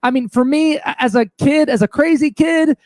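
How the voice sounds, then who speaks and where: Male host. Very high pitch, dry sound, eager and effusive, informal. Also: moderate pace, a little mellow, no room sound. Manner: enthusiastic, gushing, slangy. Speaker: show host. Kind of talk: casual chat.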